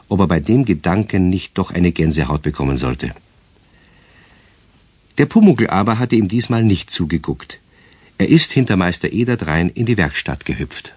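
A man's voice: lowish voice, slightly rough, dry recording, full character voice. A man narrating a children's radio play in scripted storybook style, with a southern German accent.

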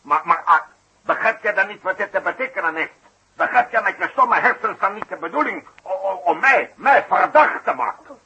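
A man's voice: high-pitched, expressive, normal speed, pushed and bright, breathy edge, muffled recording, full character voice. An actor playing a vain, hot-tempered male circus knife thrower who talks in a pompous, bossy way in a 1960s radio play. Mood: outraged.

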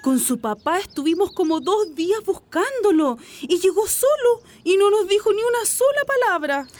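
Very high-pitched, anxious Chilean housewife played by an actress. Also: female voice, lively intonation, moderate pace, darkish tone. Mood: alarmed, upset. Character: worried working-class Chilean wife and mother, easily alarmed. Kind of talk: radio drama acting. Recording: noisy recording with clicks.

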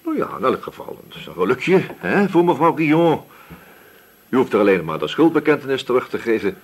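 An actor playing a stern, relentless, sardonic police inspector who questions insistently in a radio play. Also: male voice, medium pitch, very animated, a little fast. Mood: dry, probing. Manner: probing, insistent, threatening. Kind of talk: Dutch radio play dialogue.

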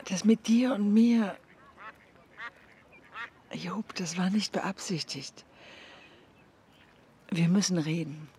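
An actress playing an earnest, intense woman with spiritual convictions in a radio drama, insistent and at times hushed. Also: lowish voice, slow pace, long pauses. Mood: hesitant, hushed. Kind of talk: naturalistic radio drama dialogue.

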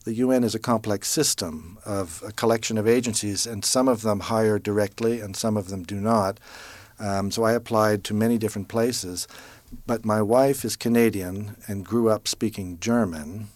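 A middle-aged man who works as a translator, giving thoughtful interview answers and pausing now and then to find a word. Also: fairly low pitch, steady tone, darkish tone, no room sound. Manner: thoughtful, pausing to search for words. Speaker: translator, former diplomatic interpreter.

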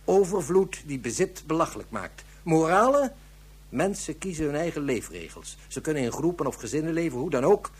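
A grave future police inspector in a radio play. His husky, slightly high male voice declaims and reasons through pointed rhetorical questions, articulate and official. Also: sing-song, brisk. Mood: emphatic, rhetorical. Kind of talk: radio drama acting.

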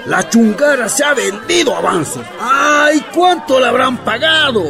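Outraged camp gossip, very high voice, radio drama, loud crowd and music. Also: lively intonation, measured pace, gravelly, heavily acted. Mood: scandalised. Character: scandalised gossiping villager of the mining camp.

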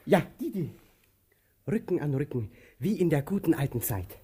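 A man's voice: fairly high pitch, sing-song, normal speed, dark tone, breathy edge, full character voice. An actor playing a weary, childlike tramp in an absurdist radio play, plaintive and sulky in his delivery. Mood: subdued. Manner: plaintive, erratic, swinging from murmurs to wails.

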